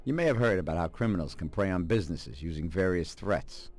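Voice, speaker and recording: Careful educated mob advisor, low voice, formal and measured, game cutscene, faint music behind. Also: male voice, animated, darkish tone. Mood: smooth, explanatory. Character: cautious, refined, articulate mob advisor. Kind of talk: dramatic video game cutscene acting.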